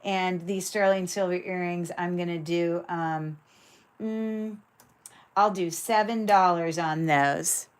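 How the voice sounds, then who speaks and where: Woman, livestream seller, chatty, filler-heavy, lower voice, crisp clear sound. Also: steady tone, takes it slowly. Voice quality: describes own voice as loud. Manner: chatty, many fillers, thinks aloud while handling items. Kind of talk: casual livestream sales chat.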